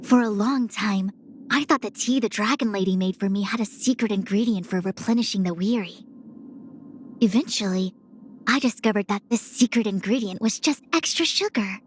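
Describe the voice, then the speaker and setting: A woman's voice: mid-range voice, a little hiss or hum, lightly acted. An actress voicing a proud, scholarly young female diviner in a video game, speaking formally and eloquently with self-assurance. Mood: wry. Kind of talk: scripted game character voice lines. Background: music.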